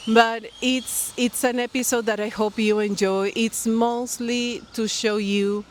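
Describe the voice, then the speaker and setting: A knitting podcast host from Colombia with a Colombian accent, chatting casually to the camera outdoors by a pool, with friendly rambling talk full of fillers and restarts. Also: female voice, on the high side, level tone, measured pace, a little hiss or hum. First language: Spanish. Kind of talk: casual vlog chat to camera. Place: outdoors by a pool near the beach. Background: birds and people nearby.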